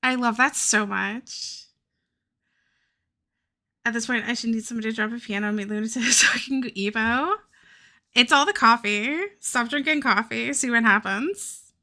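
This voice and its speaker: A woman's voice: fairly high pitch, moderate pace, pushed and bright. A young woman with a Southern US accent, chatting casually and rambling on a live stream, swearing and laughing often. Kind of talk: casual chat while drawing on a live stream.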